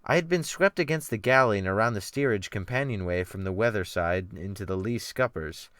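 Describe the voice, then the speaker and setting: A man narrating a literary audiobook in a theatrical style with deliberate phrasing, close to the microphone. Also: fairly low pitch, fairly bright tone, smooth, no room sound. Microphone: close USB desktop microphone.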